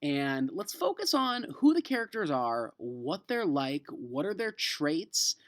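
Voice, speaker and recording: A man with an American accent, an elementary school teacher reading a children's novel aloud and explaining it to a class in an expressive, emphatic way. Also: a little high, slow pace.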